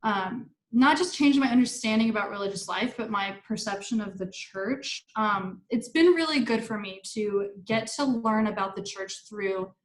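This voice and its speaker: A woman's voice: medium pitch, normal speed, few pauses, roomy sound, poor audio. A young woman from Argentina talks casually in an interview, with lots of likes, restarts and laughs. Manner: chatty, many likes and restarts, laughs often. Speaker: staff writer and interview guest.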